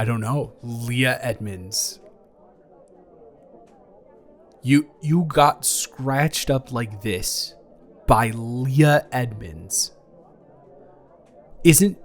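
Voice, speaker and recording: Halting, caring young ex-boyfriend, played by a man. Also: mid-range voice. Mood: incredulous. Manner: halting, stammering, casual. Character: caring, flustered young ex-boyfriend tending a fighter's scratches. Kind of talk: improvised intimate audio roleplay.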